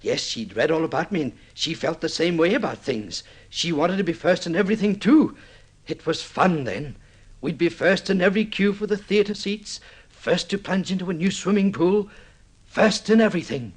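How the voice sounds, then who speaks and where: An actor playing an excitable, obsessive newlywed man in an old-time radio drama, halting and stammering as he tells his story. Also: sits high, breathy edge. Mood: wistful, eager. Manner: halting, stammering repeats, swinging into boastful excitement.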